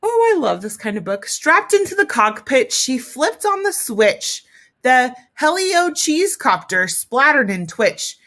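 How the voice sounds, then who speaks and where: A woman teacher reading a story aloud to children, expressively, pausing to explain it and talk directly to young listeners. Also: high voice, very animated, a bit bright.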